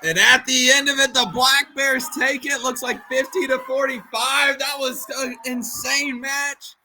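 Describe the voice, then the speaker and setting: A man's voice: extremely high pitch, flat delivery, a little slow, bright, forceful tone, a little hiss or hum. A man, a martial arts instructor, commentating and encouraging his students in a proud, teacherly way. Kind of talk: casual sports commentary.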